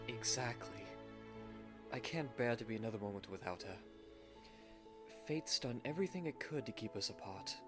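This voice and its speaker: A man voicing a tender, courteous young gentleman groom who speaks formally in an anime fan ASMR roleplay. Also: on the low side, normal speed, long pauses, music in the background, lightly acted. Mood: tender, devoted. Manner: formal, romantic, courteous speech.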